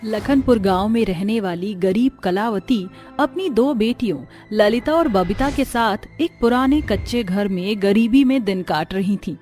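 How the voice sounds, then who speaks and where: A woman's voice: medium pitch, measured pace, few pauses, a little mellow, faint music behind. A woman narrating a scripted moral story as a storyteller.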